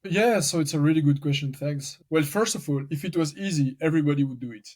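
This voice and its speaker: A man's voice: on the high side, fast talker, darkish tone. Talkative man with a Belgian French accent. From French-speaking Belgium. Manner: talkative, thinks aloud with restarts. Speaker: venture capital founder, guest. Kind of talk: casual podcast interview.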